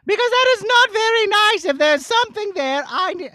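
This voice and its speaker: A performer voicing an excitable, skittish princess who talks to children in a flustered way in a puppet show. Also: very high-pitched, moderate pace, dry recording, studio quality, pitched above the performer's own voice, heavily acted. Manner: exclamatory, flustered, addressing children directly.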